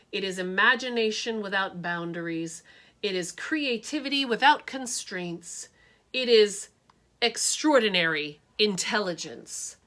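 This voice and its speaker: A woman's voice: medium pitch, a bit bright. A woman doing a brusque no-nonsense teacher. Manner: firm, emphatic, slow declaiming.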